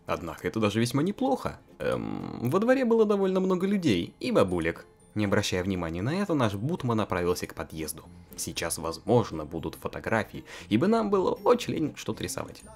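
Animated, giggly comic narrator. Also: fast talker, few pauses, faint music behind. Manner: animated, giggly, swings into squeaky put-on voices. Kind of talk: comic amateur visual novel narration.

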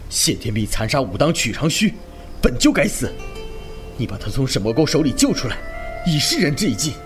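A man's voice: medium pitch, big pitch swings, a little fast, close to an everyday voice. A young man playing an upright, stern, protective martial brother in a wuxia audio drama, earnest and righteous. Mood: stern, insistent. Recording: music under the voice.

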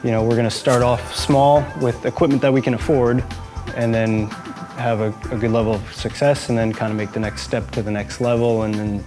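A man in his thirties at a brewery, answering interview questions earnestly and haltingly, often repeating words. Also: medium pitch, flat delivery, over music. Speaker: brewery manager. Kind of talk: interview and plant tour.

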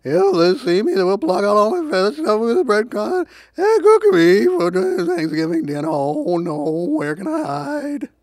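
A man's voice: sits high, very animated, moderate pace, hardly any pauses, no echo, clean studio sound, voice pushed up. A man voicing a panicky turkey in a storybook, wailing and pleading frantically. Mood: frantic, pleading. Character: panicky male turkey fleeing from being cooked. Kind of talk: storybook character voice.

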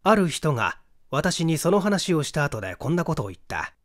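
A man in his thirties reading a literary mystery story aloud in a measured storytelling delivery. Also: fairly high pitch, very animated, no room sound, studio quality. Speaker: audiobook reader, stage actor. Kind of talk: literary mystery novel reading, scripted narration.

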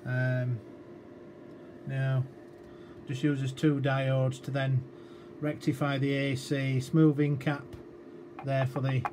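Flat-toned man explaining, noisy recording. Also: medium pitch, slow pace. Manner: explanatory, hesitant, thinking aloud. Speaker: electronics repair demonstrator. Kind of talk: informal technical walkthrough. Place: indoor electronics workbench. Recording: clearly noisy workshop room recording.

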